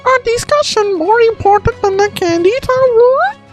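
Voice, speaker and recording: Guy doing super high squeaky senior lady scholar, bossy, scolding, game character, music behind. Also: animated. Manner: imperious, scolding, brisk. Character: small bossy senior female scholar, proud.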